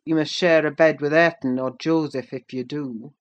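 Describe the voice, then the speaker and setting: A stern master of a lonely farmhouse, acted out by a woman narrator, curt and commanding. He is surly and unwelcoming, a storybook tyrant with a low-set voice for a female reader. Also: steady tone, moderate pace, highs cut off, natural voice.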